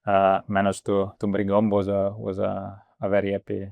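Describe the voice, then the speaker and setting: A man's voice: on the low side, monotone, normal speed, creaky, no echo, dull audio. A man from Italy in his thirties answering interview questions, hesitant, with many fillers and restarts. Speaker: guest, startup co-founder.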